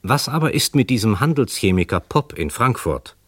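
A man narrating a scripted crime radio drama in a measured, factual way. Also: on the low side, very animated, fairly bright tone, no room sound, clean studio sound.

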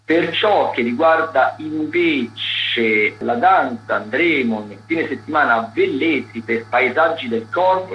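A man presents culture listings on the radio in a chatty, halting way, digressing and correcting himself. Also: medium pitch, bright, forceful tone, dull audio, a little hiss or hum. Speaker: radio culture critic presenting a theatre agenda. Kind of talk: chatty live listings commentary.

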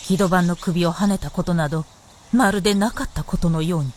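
A woman's voice: on the low side, fairly quick, a little mellow. A woman reading scripted narration for a radio drama, with music behind her.

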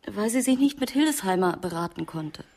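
An actress playing a murder victim's grown daughter, a new mother, subdued and measured in a radio crime drama. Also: mid-range voice, expressive, a little mellow. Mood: reflective.

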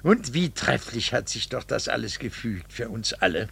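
An actor playing a bitter, condescending middle-aged husband in a theatrical 1960s radio drama. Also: male voice, medium pitch, sing-song, gravelly, fairly bright tone, breathy edge, dry recording, full character voice. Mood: cold, mocking. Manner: sardonic, theatrical, drawn-out rhetorical phrasing. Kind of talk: 1960s German-language radio drama acting.

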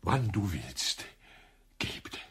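A husky, suave gold-digging charmer. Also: male voice, low-pitched, takes it slowly, exaggerated voice. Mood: tender. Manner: smooth, ironic, flattering. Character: suave scheming man who marries for money. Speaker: radio drama actor. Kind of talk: radio play acting.

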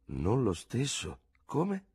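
A man's voice: medium pitch, normal speed, slightly rough, voice pushed up, heavily acted. A man voicing a dull old army surgeon. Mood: dry, questioning. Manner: flat, dull, repeats his favorite word. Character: gruff, dry old army surgeon, male.

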